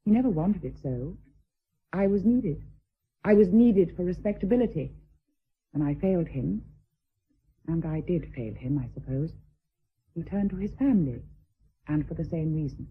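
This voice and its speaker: An actress playing a dignified, steadfast deserted wife of rank in a period radio drama, speaking in a measured, formal, resolute way. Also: female voice, fairly low pitch, animated, husky, narrow, tinny audio. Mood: wounded, candid.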